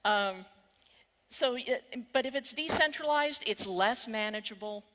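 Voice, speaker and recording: An older woman with a Texan accent delivers a keynote from a conference stage, wry and candid, swearing now and then. Her voice is bright and forward, and it rings with the long reverb of a large hall. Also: fairly high pitch, a little slow. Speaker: keynote speaker.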